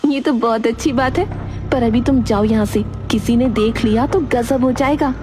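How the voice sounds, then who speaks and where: Woman acting a high-voiced clever young village daughter-in-law. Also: normal speed, lightly acted. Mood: helpful. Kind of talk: Hindi children's cartoon voice acting. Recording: prominent music bed under voice. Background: music.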